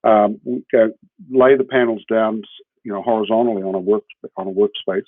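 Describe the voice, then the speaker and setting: A man's voice: lowish voice, fairly even intonation, brisk, no room sound. A man with a New Zealand accent explaining his work informally as an interview guest, often checking in with the listener. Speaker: podcast guest, hemp builder. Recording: muffled, phone-like narrowband line.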